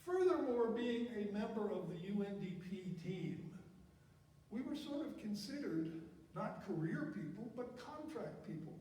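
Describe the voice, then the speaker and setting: A man's voice: sits high, sing-song, unhurried, mellow. An older man telling personal career stories to an audience in an emphatic way, often repeating words for effect. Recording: very echoey hall, distant mic, faint noise.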